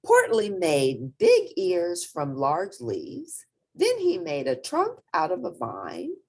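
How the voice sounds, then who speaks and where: A woman storyteller reads a picture book expressively. Her voice is a little low and husky, with very wide swoops of pitch. She stresses words dramatically for young listeners. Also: slow. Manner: animated, dramatic emphasis. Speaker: children's storyteller.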